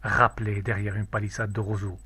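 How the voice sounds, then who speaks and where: A man reciting a poem in a measured, declamatory, solemn way. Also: fairly low pitch, level tone, bright, forceful tone, husky, no room sound. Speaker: poetry reader.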